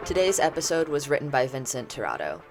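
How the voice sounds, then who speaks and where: An announcer gives a scripted read of podcast end credits. The voice is mid-pitched, with music playing clearly behind it. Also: wildly swooping pitch, fast. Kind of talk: scripted narration.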